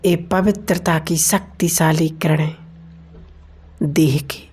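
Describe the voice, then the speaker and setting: Slow, low-pitched woman guiding meditation. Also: steady tone, a little mellow, husky. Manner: slow, deliberate phrasing with pauses. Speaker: guided meditation leader. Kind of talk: guided meditation narration. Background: meditation music.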